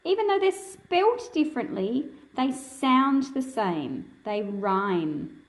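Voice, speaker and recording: A woman's voice: sits high, very animated, slow. A woman reading a picture book aloud to young children and explaining it in simple words, in a patient, teacherly manner.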